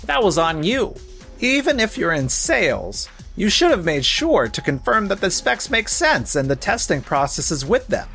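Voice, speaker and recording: Manga dub boss, male actor: stern, probing, higher-pitched, very animated intonation. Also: normal speed, vocal fry, soft background music, heavily acted. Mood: reproachful. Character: stern, exacting corporate manager confronting a subordinate. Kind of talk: scripted manga dub voice acting.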